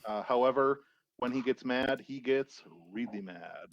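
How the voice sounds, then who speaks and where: Halting man on a dry, dull mic. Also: medium pitch, dry recording. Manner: halting, understated. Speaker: tabletop RPG player. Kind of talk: casual character description. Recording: dry, slightly dull microphone.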